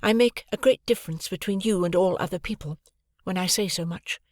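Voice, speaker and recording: A man voicing a proud, cold young woman who speaks calmly and without feeling in a storybook reading. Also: no room sound, clean studio sound, lightly acted. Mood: cool, detached. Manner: calm, measured, high and light.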